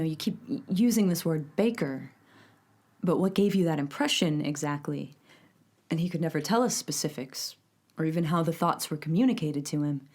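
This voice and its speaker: An actress playing a measured investigator giving matter-of-fact, conversational testimony in a documentary-style audio drama. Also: female voice, deep voice, darkish tone. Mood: reflective, measured.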